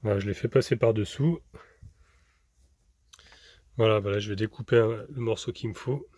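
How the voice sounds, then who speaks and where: A man's voice: fairly low pitch, moderate pace, a little mellow, breathy edge, no room sound. A man vlogging casually inside a camper van, explaining a repair step by step with many restarts. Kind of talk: casual vlog narration.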